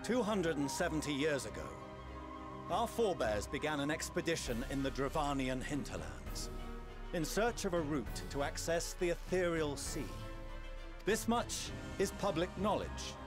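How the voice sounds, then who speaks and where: Earnest game statesman, male actor: formal, deliberate storytelling, very varied intonation, clear music bed. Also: fairly high pitch, lively intonation, natural voice. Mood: grave revelation. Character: dignified, earnest male scholar-statesman revealing a grave secret. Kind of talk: formal fantasy game exposition.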